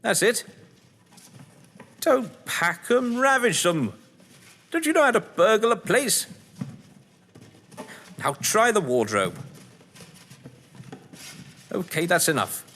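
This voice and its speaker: An actor playing a scheming, theatrical English crime novelist in an audio drama, pompous, arch and bossy, with an English accent. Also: male voice, high voice, very animated, slow, long pauses, a bit bright, a little hiss or hum, exaggerated voice. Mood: exasperated, bossy. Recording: long hall-like reverb.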